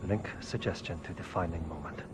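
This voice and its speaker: An actor playing an obsessive, self-absorbed scientist who mutters clipped clinical commands in a video game. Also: male voice, sits low, a little fast, very noisy, close to an everyday voice. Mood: clinical focus.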